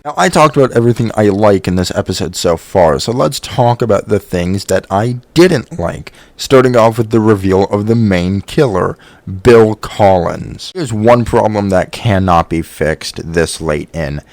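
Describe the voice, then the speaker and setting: Low-pitched man giving a dry-recorded review. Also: darkish tone, no echo. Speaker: video reviewer. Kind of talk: opinionated video review commentary.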